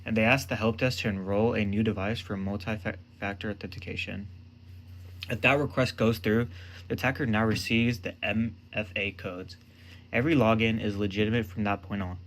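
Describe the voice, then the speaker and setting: Male explainer presenter, lowish pitch, scripted delivery in short emphatic phrases, faint background noise. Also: steady tone, moderate pace.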